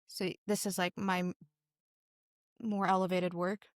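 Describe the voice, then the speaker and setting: A woman's voice: lowish voice, little pitch movement, measured pace, no echo, studio quality. A teenage girl from Singapore giving earnest, conversational interview answers as a young business founder, telling stories animatedly with a lot of "like". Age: teens.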